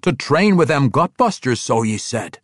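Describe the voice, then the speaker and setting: A man's voice: mid-range voice, very animated, normal speed, fairly bright tone, breathy edge, no room sound, voice pushed up. Guy doing a pushy old dwarf fighter, dry close mic. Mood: probing. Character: old dwarf warrior, eager and questioning.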